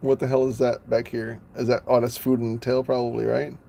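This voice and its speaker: A man's voice: mid-range voice, flat delivery, fairly quick, gravelly, dark tone. A man chatting casually on a live stream, playful, laughing often and stumbling over names.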